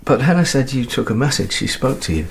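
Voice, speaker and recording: A man acting a drunk, anxious husband in a radio thriller, slurred and gravelly, rambling through halting questions and suspicions. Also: medium pitch, big pitch swings, a little hiss or hum. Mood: uneasy, probing. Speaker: actor in an audio drama.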